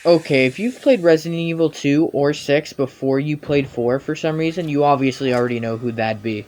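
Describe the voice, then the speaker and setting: Young guy doing snarky game commentary, kinda high voice, informal. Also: a little fast, darkish tone, soft background music. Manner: informal, sarcastic. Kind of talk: humorous scripted commentary.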